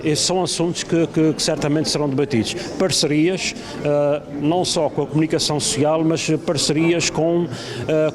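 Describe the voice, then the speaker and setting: A man giving measured, formally worded interview answers with frequent hesitations. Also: on the high side. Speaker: newspaper representative being interviewed. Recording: crowded urban setting, background chatter. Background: crowd noise.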